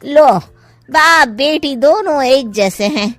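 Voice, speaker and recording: Woman doing fed up middle-aged mom in a cartoon story, high naggy scolding voice, dry recording. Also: animated, slow, fairly bright tone, no room sound, faint music behind, exaggerated voice. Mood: exasperated scolding. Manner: nagging. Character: scolding, exasperated middle-aged mother of lazy daughter. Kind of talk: animated moral story voice acting.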